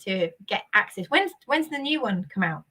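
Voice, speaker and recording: Host: woman, mid-pitched voice, bubbly and emphatic, drives the conversation. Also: big pitch swings, moderate pace, sharp tone, husky. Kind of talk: live-streamed interview chat.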